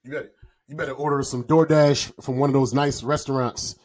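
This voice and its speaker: A man's voice: medium pitch, steady tone, a little fast, a little mellow. A man bantering casually on a comedy podcast, slangy and profane, repeating phrases for emphasis.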